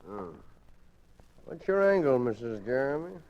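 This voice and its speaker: An actor playing a cynical, streetwise police lieutenant with a wry, sardonic manner in a 1940s radio drama. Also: male voice, mid-range voice, very animated, measured pace, darkish tone, faint music behind. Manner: wry, laid-back, sardonic tough-guy talk. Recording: muffled old radio recording.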